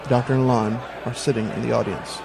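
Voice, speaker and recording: A man's voice: mid-range voice, fairly even intonation, fast, vocal fry, crowd noise. A man narrating a scripted audio drama in a descriptive storytelling manner.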